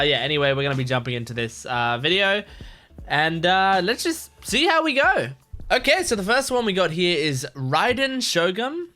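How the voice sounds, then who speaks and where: A man's voice: medium pitch, bright, forceful tone. A man giving casual, informal reaction commentary as a host, rambling and swearing freely.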